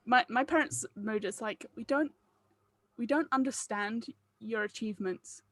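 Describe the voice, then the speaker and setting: A woman's voice: fairly high pitch, unhurried, a bit bright, no echo. A woman chatting casually on a group call as the writer leading a table read, jokey and self-deprecating. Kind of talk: casual video call chat.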